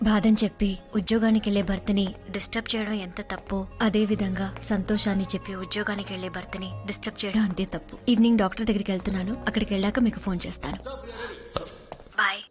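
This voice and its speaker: A woman's voice: mid-range voice, lightly acted. A woman playing a devoted young wife in a sentimental film drama, speaking earnestly and tenderly. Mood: affectionate. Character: devoted, anxious, proud young wife of an agent. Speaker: film actor. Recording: echoey film audio, soft background score.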